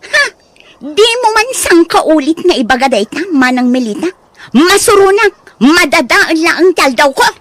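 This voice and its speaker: A woman's voice: high voice, very animated, a little slow, fairly bright tone, dry recording, heavily acted. A woman acting a proud, snobbish young graduate in an Ilocano radio drama, with an Ilocano accent and a haughty manner. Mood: haughty, irritated.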